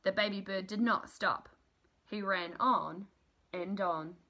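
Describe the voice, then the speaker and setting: A woman in her thirties reading a children's storybook aloud in an expressive, deliberate, emphatic way. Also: mid-range voice. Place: small room at home, indoors.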